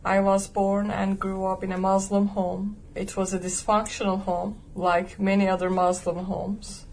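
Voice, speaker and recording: A woman from Turkey, a guest giving her personal testimony in an earnest, measured way. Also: medium pitch, level tone, darkish tone, a little hiss or hum, rough recording. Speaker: interview guest.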